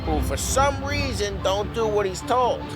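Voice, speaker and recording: An actor playing a streetwise, foul-mouthed gangster, chatty and cocky, in a blunt, slangy crime-game style. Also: male voice, high-pitched, animated, unhurried. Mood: bitter, rueful. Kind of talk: cinematic game voice acting, period crime drama. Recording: loud music over the dialogue.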